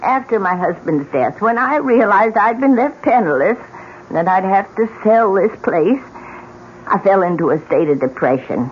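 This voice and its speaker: An actress plays an elderly, embittered widow who needles and warns like a storyteller of doom. Her delivery swings widely in pitch, in old-time radio style. The recording is dull, with clear background noise. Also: lively intonation, normal speed, lightly acted. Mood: ominous, dramatic. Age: older. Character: bitter, meddling old widow in a wheelchair, ominous. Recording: muffled, noisy vintage radio recording.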